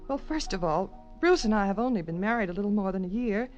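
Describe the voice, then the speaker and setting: A woman's voice: medium pitch, animated, fast talker, darkish tone, close to an everyday voice. An actress playing an anxious, refined young married woman in a 1940s radio drama, earnest and hesitant in manner. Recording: dull old radio recording, faint music.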